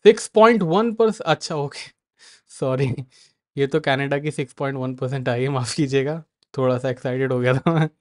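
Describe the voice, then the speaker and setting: A man's voice: medium pitch, moderate pace, dry recording, clean studio sound. A man with an Indian accent hosting a live market stream, answering viewers by name in a mix of Hindi and English. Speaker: market analyst host.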